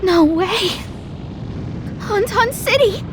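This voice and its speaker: An actress playing a small, excitable young goddess in an anime video game, perky, cocky and exclamatory. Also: female voice, very high voice, slow, noisy background, full character voice. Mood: shocked.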